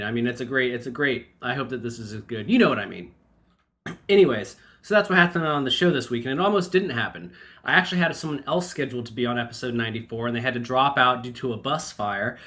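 A man's voice: mid-range voice, a bit bright. A man hosting a music podcast, chatty and enthusiastic, his voice blocked up from a cold. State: congested from a cold. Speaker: podcast host. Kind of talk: podcast intro and interview.

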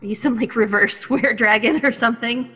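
A young woman playing an excitable, naive girl in an audio drama, gushing and exclaiming. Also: a little high, fairly quick, slightly rough. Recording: long cave-like reverb, slightly dull.

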